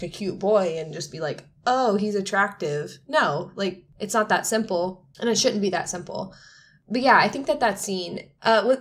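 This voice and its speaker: Informal, emphatic woman co-hosting. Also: medium pitch. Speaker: podcast co-host. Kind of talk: casual opinionated discussion.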